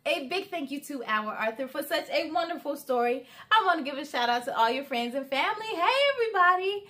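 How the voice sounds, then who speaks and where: A woman in her thirties hosts a read-aloud for kids. Her voice is high and very animated, with an expressive, sing-song way of addressing children. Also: fairly quick. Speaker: children's story time host. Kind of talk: children's read-aloud with asides to young viewers. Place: living room at home.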